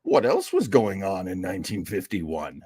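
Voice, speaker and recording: A man's voice: low-pitched, huge pitch swings, moderate pace, mellow, husky, no room sound, clean studio sound. A man hosting an old-time radio program, giving casual commentary in a chatty, folksy, opinionated way.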